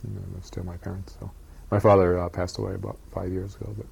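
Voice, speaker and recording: An older man from Japan, recalling his childhood in an oral history interview, talking haltingly with many pauses and fillers. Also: sits low, little pitch movement, gravelly, a little mellow, rough recording.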